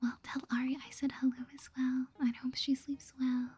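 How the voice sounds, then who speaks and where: A woman softly whispering an ASMR game playthrough in a gentle, playful way, reading dialogue aloud and thinking out loud. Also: high voice, little pitch movement, normal speed, no echo, soft background music. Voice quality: breathy, mostly whispered. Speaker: gaming video host.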